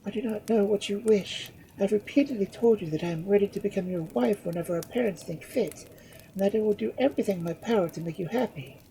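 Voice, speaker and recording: A woman's voice: medium pitch, fast talker, slight background noise, pitched above the performer's own voice, lightly acted, poor audio. A woman playing a cool, composed young lady. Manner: calm, mildly scolding. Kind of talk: storybook reading.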